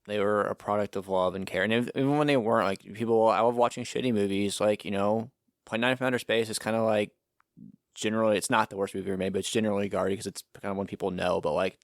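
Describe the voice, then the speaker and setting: A man's voice: on the low side, fairly even intonation, quick pace, no room sound, studio quality. A man co-hosting a podcast, talking bluntly with plenty of swearing and strong opinions. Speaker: podcast co-host. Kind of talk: casual chat.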